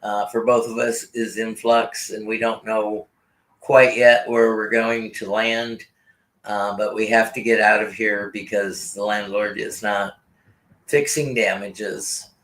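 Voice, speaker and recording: A man's voice: lowish voice, level tone, slow, fairly bright tone, low-quality recording. A man co-hosting a live stream, easygoing, speaking English as a second language. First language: island language. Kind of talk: casual live stream chat.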